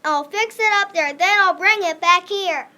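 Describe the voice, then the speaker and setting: Child playing a high-voiced scheming storybook grump. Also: normal speed, bright, forceful tone, exaggerated voice. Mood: falsely sweet. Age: child performer. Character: sly, gleeful, mean green grump creature.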